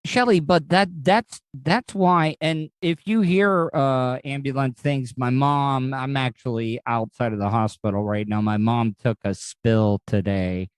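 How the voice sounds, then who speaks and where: A man's voice: medium pitch, fairly even intonation, a little slow, few pauses, clean tone, no echo. American man chatting, dry sound. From United States. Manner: rambling, repeats words and restarts often. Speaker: podcast host. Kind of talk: casual podcast chat. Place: outdoors outside a hospital.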